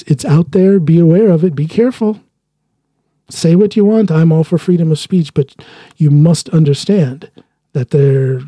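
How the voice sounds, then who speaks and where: A man's voice: a little high, warm tone, slightly rough, no room sound. A man hosting a solo podcast, talking earnestly in a loose monologue and often restarting his sentences. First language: English. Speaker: podcast host.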